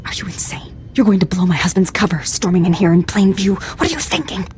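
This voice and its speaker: A woman playing a spy's fiercely protective, sharp-tongued wife in a video game. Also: medium pitch, expressive, fairly quick, a little hiss or hum, close to an everyday voice. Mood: indignant.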